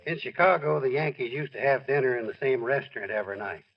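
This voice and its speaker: An actor playing a friendly sportswriter pal of a ballplayer in a 1940s radio drama, on an old recording. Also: male voice, medium pitch, fast, highs cut off, faint music behind, natural voice. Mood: reminiscing.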